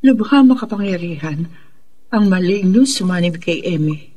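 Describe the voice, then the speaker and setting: A reluctant faith healer in a radio horror play. The voice sits at a middle pitch, measured and grave, carrying worry and caution. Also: animated, a little mellow, breathy edge. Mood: worried, reluctant. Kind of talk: radio drama acting.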